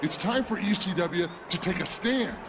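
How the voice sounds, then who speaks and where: A boastful giant wrestler. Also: male voice, high-pitched, lively intonation, moderate pace, noisy background. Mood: rousing. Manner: boastful, menacing. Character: huge brash wrestler rallying his brand. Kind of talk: video game cutscene acting. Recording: game audio under loud music.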